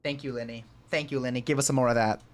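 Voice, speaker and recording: A woman giving casual gameplay commentary as a streamer, reacting in an expressive, sympathetic and jokey way. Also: extremely low pitch, fast talker.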